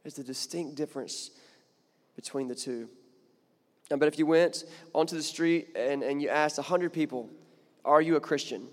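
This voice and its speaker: A man's voice: on the high side, normal speed, long pauses, long reverb tail. A man preaching a sermon in a chatty, conversational way, building to emphatic points and often repeating words. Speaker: pastor.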